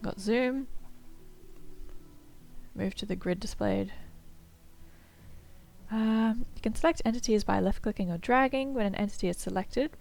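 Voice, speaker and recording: A woman's voice: mid-range voice, gravelly, darkish tone. Chatty woman gamer, a bit nasal. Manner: chatty, upbeat, muses aloud with fillers. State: slightly nasal from a cold. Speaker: gameplay streamer. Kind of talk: casual let's-play commentary, reading tutorial text aloud.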